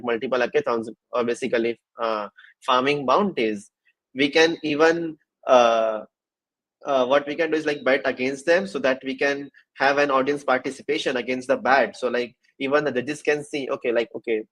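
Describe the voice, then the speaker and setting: Man, medium-pitched, livestream host, casual interview chat, halting phrasing, frequent fillers. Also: fairly bright tone, poor audio. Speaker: host of a developer livestream session.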